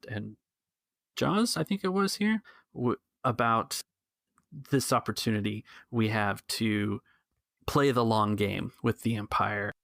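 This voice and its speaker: A man playing a protective, cautious rebel organiser in a tabletop game, reassuring and measured but halting. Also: on the low side, gravelly, a bit bright, dry recording, clean studio sound.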